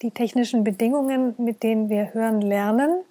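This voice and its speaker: A woman with a slightly high, very mellow voice gives an explanatory interview. She works through her points with examples and questions she poses herself. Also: fairly quick.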